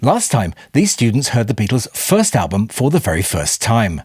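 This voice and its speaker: A man reading a scripted voice-over introduction in a measured, even way. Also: fairly low pitch, husky, no echo, clean studio sound. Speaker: narrator.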